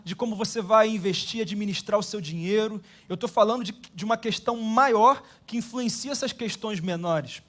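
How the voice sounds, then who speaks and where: Male Brazilian pastor, higher-pitched voice, earnest and measured sermon delivery, Brazilian Portuguese accent, church setting. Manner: earnest, measured preaching with many rhetorical tags.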